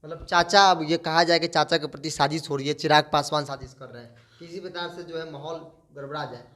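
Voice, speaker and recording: A man's voice: a little high, fairly even intonation, quick pace, fairly bright tone. A man working as a news interviewer, putting conversational political questions and repeating phrases for emphasis.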